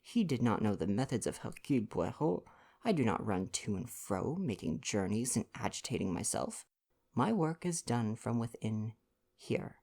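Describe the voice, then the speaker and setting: Guy doing a fussy vain belgian detective, proud n theatrical, bit of gravel, storybook reading. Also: lowish voice, very animated, moderate pace, a little mellow, exaggerated voice. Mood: indignant. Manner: pompous, emphatic, formal phrasing.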